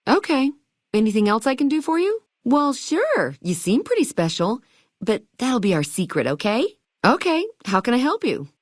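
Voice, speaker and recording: Perky, animated high-voiced hotel keeper. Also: female voice, normal speed, dry recording, clean studio sound. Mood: chirpy, welcoming. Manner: chirpy salesmanship, playful old-fashioned slang. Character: perky, eager vault hotel keeper woman, quirky and chatty. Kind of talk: video game dialogue lines.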